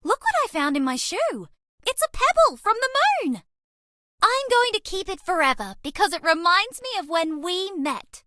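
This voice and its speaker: Casting: eager, quirky young alien mouse girl for a game, sweet-natured, with very lively intonation and a close, dry sound. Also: very high-pitched, normal speed, dry recording, heavily acted. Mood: delighted. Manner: bubbly, earnest, quirky, affectionate. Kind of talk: dating game character voice lines.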